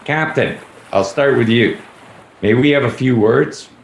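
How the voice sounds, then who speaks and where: A man's voice: medium pitch, a little slow, vocal fry. A man playing a pompous, plodding, self-important police detective in a radio play. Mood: dismissive. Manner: pompous, repetitive, officious. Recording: echoey room, faint background noise.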